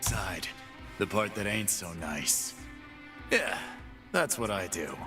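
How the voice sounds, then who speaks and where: An actor voicing a cocky, brawling male fight-pit boss in game voice lines, full of gruff, boastful trash talk, with game music behind. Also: lowish voice, animated, slow pace.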